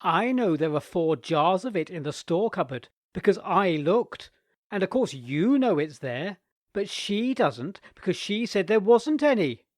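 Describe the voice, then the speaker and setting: A man's voice: high-pitched, big pitch swings, a little slow, no room sound, clean studio sound, pitched above the performer's own voice. A man voicing a clever, cheeky young boy who speaks with gleeful innocence.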